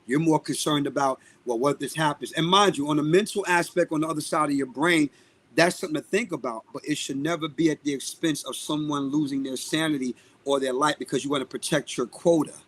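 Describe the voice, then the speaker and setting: A man with a Brooklyn accent talks in a podcast interview. His voice sits a bit on the high side. He is outspoken and emphatic, hammering points home by repeating phrases. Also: a little fast, fairly bright tone. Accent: Brooklyn, New York. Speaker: guest, singer and music producer. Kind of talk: live podcast interview talk.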